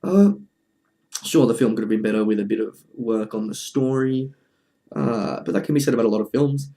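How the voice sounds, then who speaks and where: Mellow-voiced man giving casual commentary. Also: fairly low pitch, lively intonation, a little fast. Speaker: presenter of a film review video essay.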